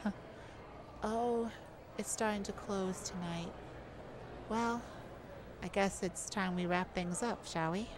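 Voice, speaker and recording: A woman's voice: medium pitch, takes it slowly, long pauses, noisy background, natural voice. A woman playing a playful, shyly romantic lady thief in an ASMR audio roleplay, with a teasing, hesitant manner. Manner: teasing, hesitant, breaking into soft laughs. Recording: music behind the voice.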